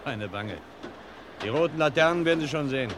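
An actor playing a steady, reassuring undercover police guard in a radio crime drama, assured in manner. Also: male voice, fairly high pitch, a little fast, lightly acted. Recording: clear outdoor vehicle noise behind.

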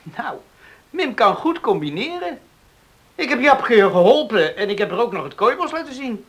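An actor playing an easygoing, evasive young farm son, eager to placate, in a Dutch radio play. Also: male voice, very high voice, big pitch swings, moderate pace, fairly bright tone, husky, heavily acted. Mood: breezy. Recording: noisy old radio play recording.

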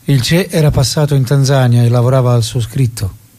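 An actor playing the solemn narrator of a revolutionary's life, in dramatic scripted style. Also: male voice, medium pitch, steady tone, fairly quick, mellow, lightly acted.

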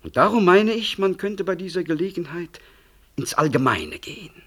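An actor playing a smooth, self-important politician and doctor in a radio drama, evasive and pompous. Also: male voice, sits high, expressive, normal speed. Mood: self-satisfied, grandiose. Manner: hedging, rhetorical, pompous. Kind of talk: 1960s German radio drama acting.

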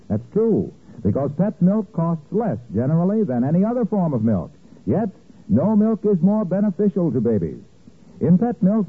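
A man's voice: a little high, husky. An American man as a vintage radio announcer, delivering commercial copy in an emphatic, salesmanlike way. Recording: tinny, band-limited old radio broadcast.

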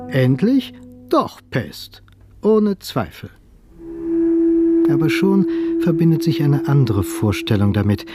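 Male radio drama narrator over music. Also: on the high side. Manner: measured, expressive, deliberate pauses. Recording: loud music behind narration.

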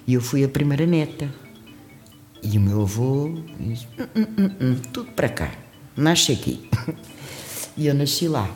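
An older woman with a very low voice tells stories from her past in a lively, laughing manner. Her voice rings in an echoey room, with soft music underneath. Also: a little slow, pauses often. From Mozambique. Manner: lively storytelling, laughs often, shifts pitch when quoting. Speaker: guest singer. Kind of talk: reminiscing interview talk.

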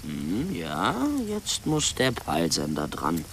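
A man's voice: rumbling low, huge pitch swings, takes it slowly, creaky, a little hiss or hum, full character voice. A man playing a hesitant, flippant young student drone pilot in a German radio drama, muttering and slangy. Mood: distracted, muttering. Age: young adult.

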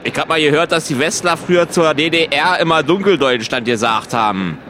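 A man's voice: on the high side, a little fast, a bit bright, slight background noise. A man from eastern Germany with a grumbly voice, chatting casually in a sceptical, complaining way. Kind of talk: casual chat.

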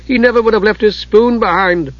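A man's voice: very high-pitched, animated, moderate pace, no room sound, muffled recording, voice pushed up. A man voicing an emotional, urgent young woman in a fantasy audiobook. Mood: tearful. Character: anxious young woman, emotional and wide-eyed.

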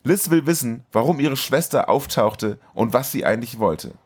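A man's voice: mid-range voice, animated, moderate pace, breathy edge. A man hosting a podcast, reading a scripted comic film recap in a wry, mocking manner. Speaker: podcast host.

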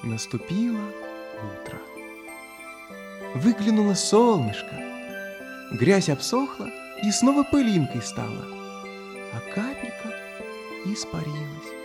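A man tells a fairy tale to small children in a theatrical manner. His voice is high for a man and very animated in melody. Music is clearly present behind him. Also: slow, pauses often. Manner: theatrical, addressing small listeners. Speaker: storyteller.

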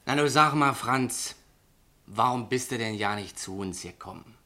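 A man's voice: mid-range voice, a little slow, pushed and bright. A man playing a blunt, hearty Berlin underworld pal with a Berlin accent in colloquial radio drama dialogue. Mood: chiding, familiar.

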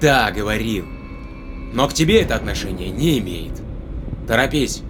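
An actor playing a grimly determined spaceship captain in a science fiction audio drama, blunt, terse and commanding. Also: male voice, lowish voice, animated, moderate pace, noisy background, natural voice.

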